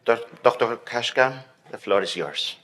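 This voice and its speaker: A man introducing a keynote speaker formally, halting with some restarts. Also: medium pitch, lively intonation, noisy background. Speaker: conference chair introducing the speaker.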